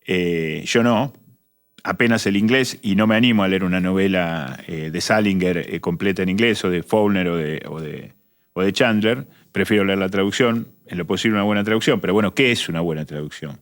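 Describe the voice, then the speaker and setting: A man's voice: sits low, fairly quick. A man with a Rioplatense accent talking hesitantly on a talk show, with frequent fillers. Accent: Rioplatense Spanish. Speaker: co-host.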